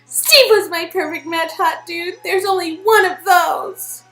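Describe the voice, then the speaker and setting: A woman's voice: very high-pitched, unhurried, bright, forceful tone, roomy sound, soft background music, pitched above the performer's own voice, full character voice. A woman voicing a heartbroken, dramatic and clingy young woman in a dialogue performance of an interactive game. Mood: sad, wistful.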